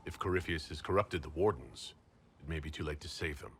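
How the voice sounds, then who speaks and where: An actor playing a hard, demanding leader of a fantasy order in a video game, blunt and skeptical. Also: male voice, rumbling low, a little fast, a bit bright, slight background noise.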